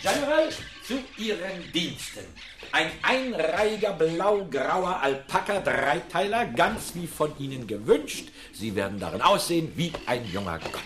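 An actor playing an obliging middle-aged tailor in a German radio play, chatty, eager to please and flattering. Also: male voice, fairly high pitch, unhurried, soft background music. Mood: flattering, deferential.

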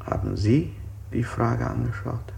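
An actor playing an unsettling interrogator in a German radio play, putting insistent, probing questions. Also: male voice, mid-range voice, unhurried, creaky, a little mellow. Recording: hissy 1960s radio play recording.

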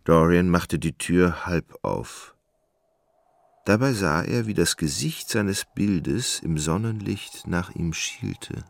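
A man narrating a literary radio drama in a measured, grave manner. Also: deep voice, expressive, vocal fry, no room sound.

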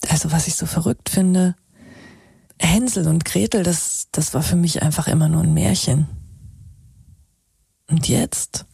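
A woman acting in a radio drama as a thoughtful journalist with a husky voice. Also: lowish voice, warm tone, no echo, studio quality, full character voice. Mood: puzzled, reflective. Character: thoughtful female journalist investigating a fairy tale. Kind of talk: naturalistic radio drama, storytelling.

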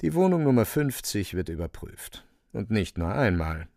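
A man's voice: lowish voice, very animated, moderate pace, vocal fry, a little mellow, no room sound, studio quality. A man narrating a literary radio play with theatrical, emphatic phrasing.

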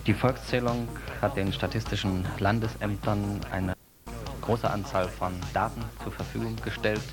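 A man's voice: fairly low pitch, creaky. A man interviewing in a formal radio style.

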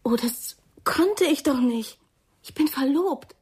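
An actress playing a defensive, prim young woman in a radio crime drama, speaking in a breathy, dramatic, indignant manner. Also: sits high, expressive, takes it slowly, a little mellow. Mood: agitated, pleading. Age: young adult.

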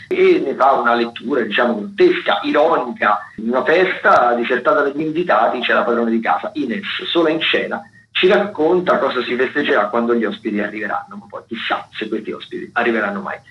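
A man speaks as a radio host in a roomy, echoey space, with a mid-pitched voice. He goes through listings in a semi-improvised way, halting, repeating words and searching for the next phrase. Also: dull audio.

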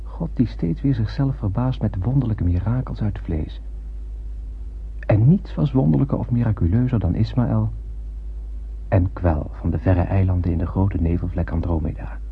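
Husky wistful spaceman narrating radio drama, lyrical, tinny old broadcast sound. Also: on the low side, exaggerated voice. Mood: lyrical wonder. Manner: lyrical, deliberate, declamatory. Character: wistful spaceman narrator recalling his voyage with poetic wonder.

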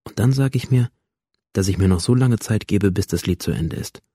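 Low husky brooding young student. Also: male voice, animated, normal speed, mellow, no echo. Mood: brooding, hesitant. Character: brooding, detached male school student narrating his fatal decision. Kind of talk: first-person literary monologue, radio drama reading.

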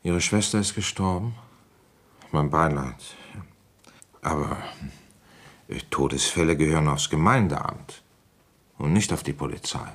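A man's voice: very deep voice, a little slow, pauses often, husky, heavily acted. A man acting a middle-aged, world-weary police commissioner in a German radio crime drama, grumbling, brusque and dryly resigned. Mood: brisk, official. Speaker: narrator and lead character.

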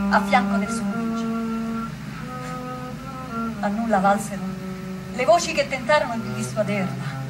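A woman's voice: a little high, poor audio. A woman performing as an impassioned storyteller in a theatrical war narrative, with music behind her. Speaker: stage narrator and actress.

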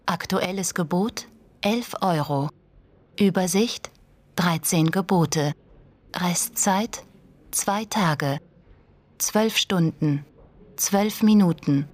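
Detached announcer voice in a radio play, lowish, slow, deliberate clipped fragments. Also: female voice. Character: impersonal female voice reading auction status like an announcer.

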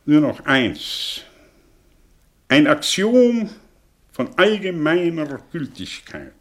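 An actor playing a cynical, bitter professor in a radio play, declaiming in with sardonic rhetorical questions. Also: male voice, sits high, sharp tone, heavily acted. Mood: emphatic, lecturing. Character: bitter, cynical male professor, theatrical intellectual monologist. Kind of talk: German radio play acting.

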